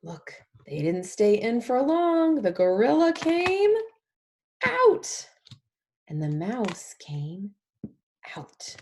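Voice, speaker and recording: Animated woman reading along with children, very unhurried. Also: on the high side. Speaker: teacher or speech therapist leading a children's read-along. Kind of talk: picture-book read-along for young children.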